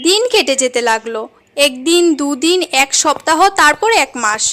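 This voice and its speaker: Very high-voiced woman narrating a story. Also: animated, moderate pace, a bit bright, slight background noise. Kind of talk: scripted cartoon story narration.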